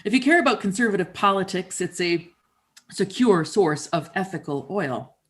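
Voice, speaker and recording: A woman's voice: medium pitch, normal speed. Wry woman telling her story. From Canada. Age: middle-aged. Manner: wry, conversational, expressive. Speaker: performer. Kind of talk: theatrical storytelling monologue.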